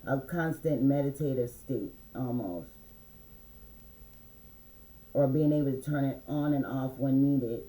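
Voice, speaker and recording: Mellow-voiced man reading oracle cards. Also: mid-range voice, roomy sound, low-quality recording. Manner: loose and meandering, many fillers; slow, reads affirmations aloud. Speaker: spiritual reader.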